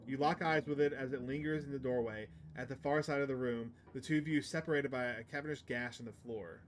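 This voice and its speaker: Male streamer, medium pitch, casual play-by-play mixed with read-aloud text and muttered asides, noticeably noisy recording. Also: steady tone, fairly quick. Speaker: streamer playing a visual novel.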